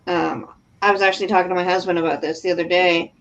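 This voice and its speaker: A woman's voice: on the low side, level tone, fast talker, a bit bright. A woman giving matter-of-fact, blunt opinions in a casual group chat about books and shows. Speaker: book discussion participant. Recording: dull, lo-fi sound.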